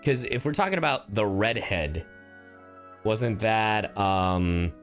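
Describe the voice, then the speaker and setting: A man's voice: on the low side, animated, takes it slowly, soft background music. A man giving casual live-stream game commentary in a slangy, profane manner.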